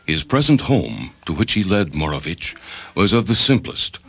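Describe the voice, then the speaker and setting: A man reading literary fiction as narrator in a measured, scripted style. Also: low-pitched, very animated, gravelly, a bit bright, breathy edge. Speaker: narrator, story reader. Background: faint background music.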